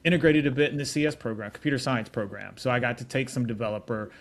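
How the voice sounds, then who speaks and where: A man's voice: medium pitch, fast. A middle-aged man answering interview questions as a tech executive, telling his story in an informal, affable way with frequent restarts. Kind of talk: casual conversational storytelling.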